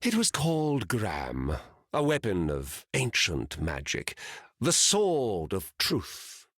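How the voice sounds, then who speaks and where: An actor playing a solemn, grandiose legendary warrior in a video game, speaking formally and deliberately in a husky voice. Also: male voice, lowish voice, huge pitch swings, a bit bright, no echo, clean studio sound, heavily acted. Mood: solemn, proud.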